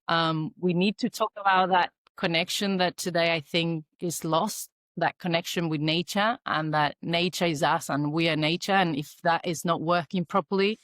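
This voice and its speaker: Argentine woman, Australian-tinged accent, lower voice, dry close sound, halting thoughtful guest talk. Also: fairly bright tone, no echo. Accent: Argentine Spanish mixed with Australian. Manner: thoughtful, halting, many fillers and restarts. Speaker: guest, environmental consultant. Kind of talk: podcast interview.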